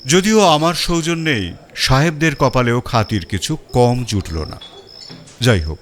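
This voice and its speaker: A man's voice: mid-range voice, lively intonation, measured pace, fairly bright tone, slight background noise. A man narrating a first-person detective story in a storytelling style.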